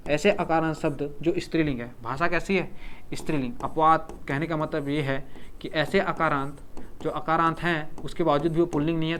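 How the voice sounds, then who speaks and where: A man's voice: on the high side, a bit bright, slight background noise. A man with a Chhattisgarh Hindi accent teaching a grammar lesson for an exam, repeating words and often checking that the class follows.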